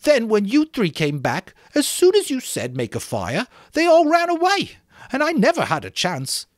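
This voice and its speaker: A shrill, high-pitched schoolboy outcast in an audiobook. He whines and protests indignantly, scolding the others, his pitch leaping as panic creeps in. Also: huge pitch swings, moderate pace, dry recording, clean studio sound, full character voice. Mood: defensive, indignant. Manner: shrill, whining, protesting, gasping delivery. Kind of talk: dramatic audiobook reading of a novel.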